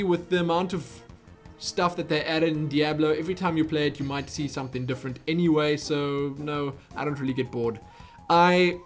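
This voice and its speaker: A young man with a South African accent answering viewer questions in a casual, rambling vlog. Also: a little high. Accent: South African English. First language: Afrikaans. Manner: chatty, rambling, self-interrupting. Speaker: YouTube Q&A host.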